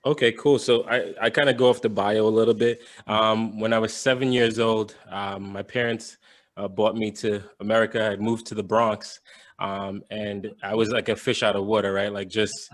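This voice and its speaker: A man's voice: lowish voice, little pitch movement, moderate pace. A young adult man from Jamaica, a podcast guest telling his story casually, with many fillers and restarts.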